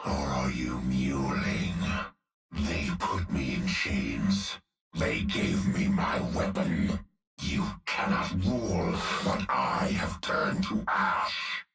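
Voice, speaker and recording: An actor voicing a cruel, menacing male cyborg executioner, with a deep gravelly voice muffled as if through a gas mask, delivered slowly and subdued. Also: sing-song, a bit bright, faint music behind, full character voice. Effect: muffled mask filter. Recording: echoey game audio played back from a distance.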